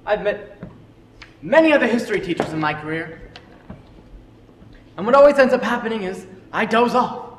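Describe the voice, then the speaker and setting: High, animated man acting an earnest history teacher. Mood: defensive, pleading. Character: earnest, opinionated male history teacher defending his methods. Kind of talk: amateur stage drama acting. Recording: echoey hall, faint noise.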